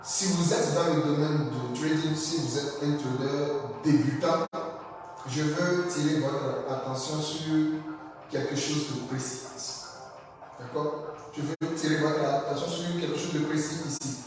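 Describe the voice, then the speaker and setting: A slightly high-voiced man teaches a live lesson, repeating questions to his viewers and asking them to answer. French is not his first language. He sounds far from the mic in a room with a long, heavy echo. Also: fairly even intonation, a little slow, music in the background. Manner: repetitive, checks in with audience often. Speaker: trading instructor.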